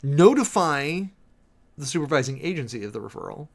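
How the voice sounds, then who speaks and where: Theatrical man with a swooping, animated delivery. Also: on the high side, vocal fry. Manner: theatrical, emphatic, with drawn-out words and sudden swells. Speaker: livestream host, preacher. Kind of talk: dramatic reading with close analytical commentary to a live chat.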